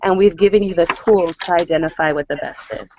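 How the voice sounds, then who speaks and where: A woman's voice: on the low side, brisk, over a noisy crowd. A woman working as a college advisor, explaining and answering questions on a conference call. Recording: dull lo-fi conference call line.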